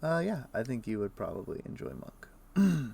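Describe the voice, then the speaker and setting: A man's voice: medium pitch, sing-song, normal speed, gravelly, warm tone, husky. A man streaming a game, chatting casually and explaining things in a loose, rambling way full of fillers. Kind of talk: casual chat while playing.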